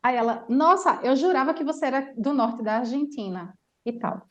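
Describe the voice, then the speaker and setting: A woman with a Brazilian Portuguese accent, a language teacher telling a lively travel story in casual chat, full of fillers. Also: sits high, moderate pace, lo-fi sound. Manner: animated storyteller, chatty with many fillers.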